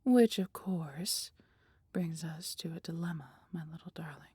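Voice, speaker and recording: A woman voicing a caring, protective female dragon in an intimate, breathy ASMR roleplay, with gently formal, old-fashioned speech. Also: fairly low pitch, a little slow, no room sound. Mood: grave, reluctant. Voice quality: breathy, whispery.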